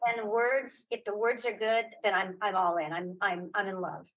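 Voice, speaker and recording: Effusive middle-aged woman with slightly husky voice. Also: medium pitch, flat delivery, moderate pace, fairly bright tone, rough recording. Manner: effusive, emphatic, repeats phrases. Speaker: interview guest, audio drama creator and filmmaker. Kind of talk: casual conversational interview.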